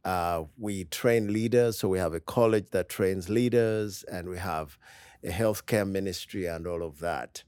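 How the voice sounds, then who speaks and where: A man from Uganda, a pastor as a podcast guest, talking in an upbeat, warm way and laughing easily in a studio. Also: low-pitched, unhurried, clean tone, no echo. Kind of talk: interview conversation.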